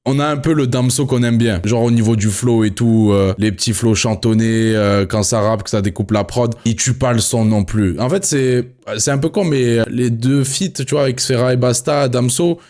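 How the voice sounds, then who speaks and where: A man streaming live and reviewing an album for his viewers, who swears constantly and swings from mulling things over to excited, laughing rants at the chat. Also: mid-range voice, moderate pace, few pauses. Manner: swears a lot, addresses chat, jumps from musing to excited ranting; laughs often.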